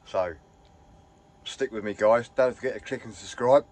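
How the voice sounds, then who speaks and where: A man chatting casually to camera from inside his camper van, rambling and enthusiastic, his voice a little hoarse. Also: medium pitch, moderate pace, heavy background noise. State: slightly hoarse. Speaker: vlogger. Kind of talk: casual vlog chat.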